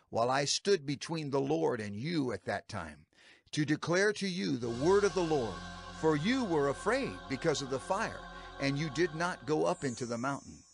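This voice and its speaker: A solemn male prophet addresses his people in a dramatized scripture production. His delivery is emphatic and oratorical, with lively swings in pitch at a middle register. Music plays behind him. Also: gravelly, breathy edge, no echo, studio quality, heavily acted. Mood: earnest proclamation.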